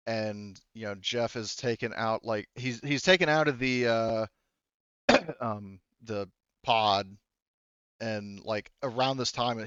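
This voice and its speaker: A man running a tabletop roleplaying game as game master, narrating off the cuff in a halting, rambling, self-correcting way. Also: mid-range voice, fairly bright tone, smooth, dry recording, dull audio. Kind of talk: improvised game narration, casual chat.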